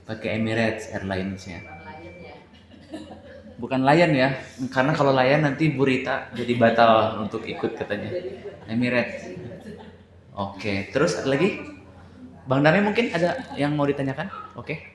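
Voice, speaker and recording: A man with an Indonesian accent casually moderating a group question session, teasing and quick to chuckle. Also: medium pitch, lively intonation, a crowd in the background. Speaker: moderator of a tour briefing. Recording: echoey room pickup.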